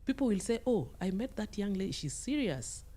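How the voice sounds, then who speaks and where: A woman's voice: medium pitch, sing-song, brisk, warm tone. A middle-aged woman speaking as a podcast guest, giving conversational advice emphatically and repeating phrases for stress.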